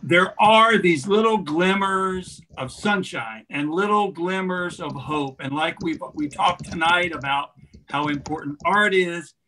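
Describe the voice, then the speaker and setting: A middle-aged man speaks earnestly on a video call in a bright, forceful voice on the higher side. He takes his time, leaning on key words as he reflects. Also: a little slow, poor audio. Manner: earnest, emphatic. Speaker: theatre director. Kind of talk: reflective closing remarks. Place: room indoors on a video call. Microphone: video call computer mic.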